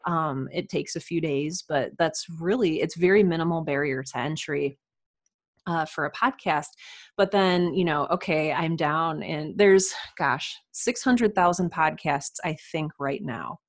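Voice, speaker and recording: A woman's voice: deep voice, measured pace, no room sound, studio quality. A woman speaking as a podcast guest in casual interview conversation, reflective and earnest.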